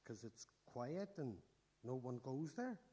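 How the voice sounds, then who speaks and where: Radio drama schoolboy, teens, played by a woman: low-pitched, very lively intonation, teasing and a bit hesitant. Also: slow pace, husky, exaggerated voice. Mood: bantering. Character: teenage schoolboy Mike, easygoing, teasing, needy classmate. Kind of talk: radio play acting.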